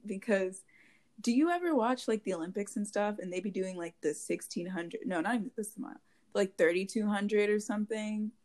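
A woman's voice: mid-range voice, brisk, a little mellow. A young woman co-hosting a casual chat podcast, giggly and rambling with lots of fillers, talking into a handheld mic held close. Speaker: podcast co-host. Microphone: handheld mic held to mouth.

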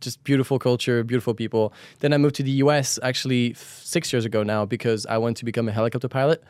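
A man's voice: mid-range voice, steady tone, quick pace, a little mellow, dry recording. A young man with a French accent, co-hosting a podcast in a casual, conversational way. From France. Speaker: podcast co-host.

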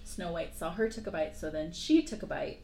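A woman retells a dark fairy tale as a podcast host. Her delivery is informal and slangy, peppered with joking asides. The room adds noticeable echo, and faint noise sits behind her. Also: fairly low pitch, a little fast.

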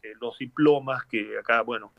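Gravelly Tucumán-accented Argentine man. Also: medium pitch, moderate pace, no echo, muffled recording. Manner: hesitant, many fillers and restarts. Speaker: singer and former rugby player, guest.

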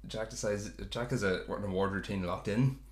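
A man's voice: on the low side, steady tone, normal speed, roomy sound, background noise. A man hosting a podcast, chatting casually about a sitcom in a rambling, self-correcting way. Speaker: podcast host.

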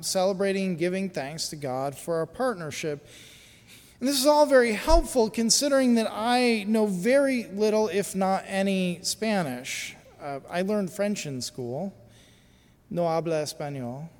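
A man who is a pastor, from the United States, telling a personal story in a sermon in an easy conversational way, with pauses and fillers. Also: sits high, darkish tone, big-room sound.

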